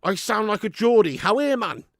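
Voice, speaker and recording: A man hosting a live fan watch-along of a football match at home, chatting to viewers with sweary banter and bursting into loud cheering at chances. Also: high voice, expressive, fairly quick, no room sound, clean studio sound. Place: at home in front of a camera.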